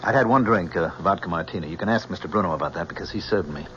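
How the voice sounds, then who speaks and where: An actor in an old-time radio drama playing a polite, composed male driver giving measured, respectful testimony under oath. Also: low-pitched, fairly bright tone. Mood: composed, earnest. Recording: muffled old radio recording, faint noise.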